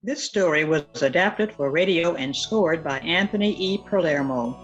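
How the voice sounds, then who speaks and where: A woman's voice: low-pitched, normal speed, dull audio. A woman reading scripted closing announcements for a radio production. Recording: music underneath.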